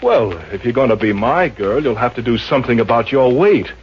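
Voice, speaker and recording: Earnest bashful suitor played by a man, medium-pitched, polite vintage radio comedy, muffled sound. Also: animated, a little fast, a little hiss or hum, close to an everyday voice. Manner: polite, hesitant. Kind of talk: 1950s radio comedy acting.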